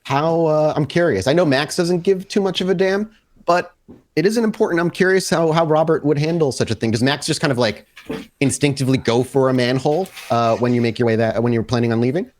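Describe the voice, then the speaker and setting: A man's voice: a little high, fairly quick. A man narrating a tabletop roleplaying game as storyteller, describing scenes and asking players questions.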